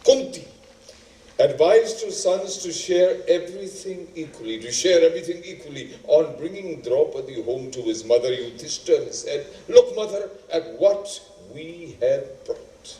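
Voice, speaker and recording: A man acting a learned, moralizing teller of epics on stage. His voice is mellow and slightly high for a man, and his delivery is deliberate and emphatic. It is heard from a little distance in an echoing space. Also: animated, close to an everyday voice. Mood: wry, moralizing. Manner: deliberate, emphatic, repeats phrases for effect. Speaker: stage actor in a two-hander play. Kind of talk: theatrical storytelling of epic tales. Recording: echoey stage, distant microphone.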